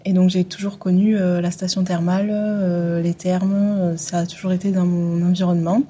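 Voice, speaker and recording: A woman's voice: lowish voice, level tone, normal speed, dark tone, husky. A woman, a hydrotherapist guest answering radio interview questions hesitantly, with many fillers. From France, Occitanie. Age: 20s. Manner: hesitant, many fillers, finishes others' sentences.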